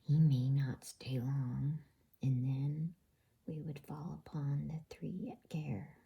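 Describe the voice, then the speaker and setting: Grim dwarf henchman for a bedtime storybook played by a woman: wary counsel, husky, creaky whisper. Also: low-pitched, little pitch movement, takes it slowly, mellow, lo-fi sound, pitched below the performer's own voice, exaggerated voice. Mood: grim, scheming. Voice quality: breathy whisper. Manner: deferential, counseling. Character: grim, wary male dwarf servant to a witch.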